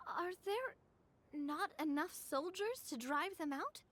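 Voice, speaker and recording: An actress playing a spirited young woman in a game, cheeky, self-assured and theatrically expressive. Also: very high-pitched, slow, slight background noise, exaggerated voice. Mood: confident. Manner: expressive, grandiose.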